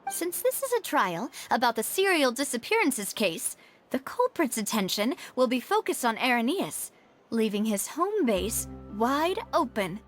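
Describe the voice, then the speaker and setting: A woman playing a poised, determined young lady investigator in a video game, composed and expressive. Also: on the high side, unhurried, soft background music.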